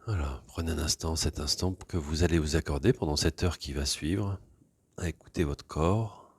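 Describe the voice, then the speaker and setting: A man leading a yoga class, giving calm, unhurried guided instructions for body awareness and breathing. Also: a bass voice, breathy edge, no room sound.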